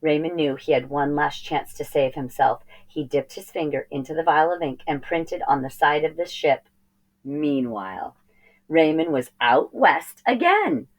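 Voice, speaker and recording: A woman with a low-pitched voice narrates a children's storybook. She reads aloud expressively, telling the tale for young listeners. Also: lively intonation, normal speed, few pauses. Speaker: storybook reader.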